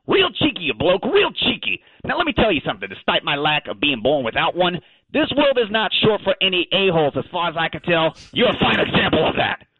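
A man's voice: fairly high pitch, wildly swooping pitch, dry recording. Man doing a cheeky Australian dog on the phone. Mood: indignant. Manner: blustery, slangy, quick to take offense then apologize. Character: cheeky, touchy male pet dog from Australia. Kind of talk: comic character phone interview on radio. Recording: thin phone line.

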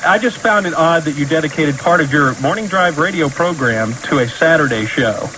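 A man's voice: a little high, animated, moderate pace, bright, forceful tone. A man hosting a radio talk show, teasing his guest and laughing often. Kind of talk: studio radio banter. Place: radio studio. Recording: noisy radio broadcast.

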